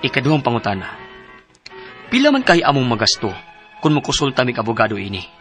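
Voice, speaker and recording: Anxious thirties husband, Cebuano Filipino accent, bright and animated, earnest radio drama delivery with background music. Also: male voice, medium pitch, fairly quick. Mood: worried, pleading. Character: worried, earnest husband in his thirties seeking advice. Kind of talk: radio drama acting and letter narration.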